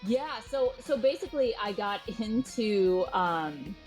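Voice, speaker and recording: A woman's voice: mid-range voice, animated, unhurried, a little mellow, soft background music. A woman, a journalist being interviewed, telling a story in an informal, chatty way. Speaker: interview guest, journalist and historian.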